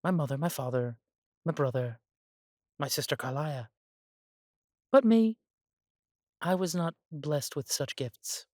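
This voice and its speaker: An unhurried man playing an elf brother from a fantasy audio drama, recorded dry and close. He is warm and humble, devoted to his family, and phrases things a little formally with thoughtful pauses. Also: fairly high pitch, no echo, natural voice. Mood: wistful, humble. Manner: measured, slightly formal phrasing with sighs and pauses. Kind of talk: scripted fantasy audio roleplay.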